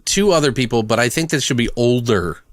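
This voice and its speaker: Dude hosting a podcast, chatty and sweary, average pitch, dry close recording. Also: male voice, brisk, dry recording. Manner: profane, informal. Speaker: podcast host. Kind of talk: casual brainstorming chat.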